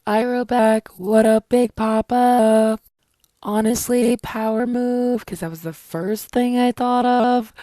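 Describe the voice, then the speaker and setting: Woman, slightly high pitch, unhurried, jokey storytime, dry sound. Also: fairly even intonation, mellow, no echo, soft background music. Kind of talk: casual storytime to camera.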